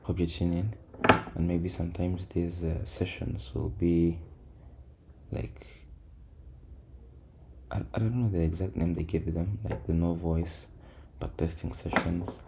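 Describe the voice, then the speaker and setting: A man with a low, creaky voice gives casual, hesitant, low-energy commentary as he works through a trading screen, repeating words as he thinks aloud. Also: level tone, a little slow, warm tone. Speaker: trader recording a backtesting session. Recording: noisy room, keyboard and typing sounds.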